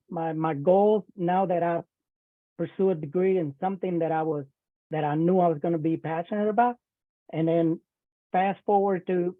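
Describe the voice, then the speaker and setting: A man's voice: high-pitched, no echo. A man, giving a casual webinar talk over a video call, often repeating and restarting his words. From Puerto Rico. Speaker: webinar speaker, cybersecurity professional. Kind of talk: casual presentation. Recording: thin phone-like online call audio.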